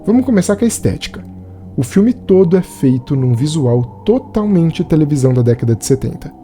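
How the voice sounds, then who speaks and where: A man's voice: on the high side, sing-song, brisk, warm tone, faint music behind. A man with a Brazilian accent giving a conversational scripted narration as a film reviewer. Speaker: video essayist and film reviewer.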